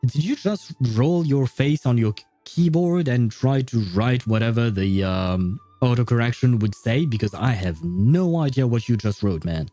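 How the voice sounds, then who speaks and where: A man's voice: mid-range voice, expressive, normal speed, hardly any pauses, dark tone, dry recording. A man hosting a livestream, chatting casually with viewers in a rambling, playfully teasing way. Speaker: livestream host. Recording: dry voice over faint background music.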